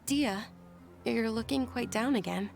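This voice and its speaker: An actress playing a polite, tender-hearted young noble lady in a video game, earnest and gentle in manner. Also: fairly high pitch, unhurried, creaky. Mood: concerned. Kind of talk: scripted game dialogue. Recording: music under the voice.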